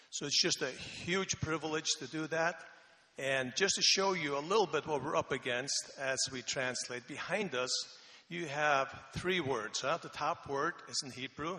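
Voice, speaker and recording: A man in his sixties from the Faroe Islands, speaking, relaxed and joking, with many pauses and fillers as he is interviewed at church. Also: medium pitch. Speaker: missionary Bible translation consultant. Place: church sanctuary. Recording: reverberant church hall.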